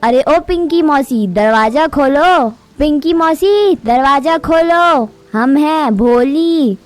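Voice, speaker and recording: A woman's voice: high-pitched, takes it slowly, pitched above the performer's own voice. A woman voicing a worried, innocent little female bird with a pleading manner in a children's cartoon story. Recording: music behind the voice.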